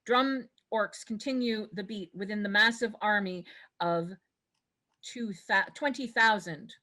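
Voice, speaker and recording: A woman narrates a script's stage directions. Her voice is bright and forward, at a medium pitch, with a read-aloud scripted delivery. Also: unhurried.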